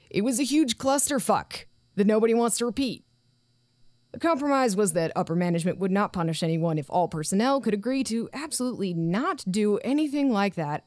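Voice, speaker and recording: A woman's voice: on the low side, darkish tone, no echo. An actress playing an anxious, dutiful site director in an audio drama, formal but faltering and apologetic as she reports. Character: anxious, dutiful female acting site director, self-doubting.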